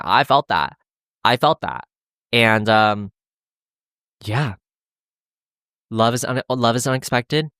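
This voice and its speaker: A young man talks casually as a podcast host, wandering through his thoughts with frequent restarts. His voice sits at an ordinary middle pitch. The sound is dry and close, with no room echo. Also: fairly bright tone. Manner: rambling and candid, heavy use of "like", with frequent restarts. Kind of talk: casual chat about dating.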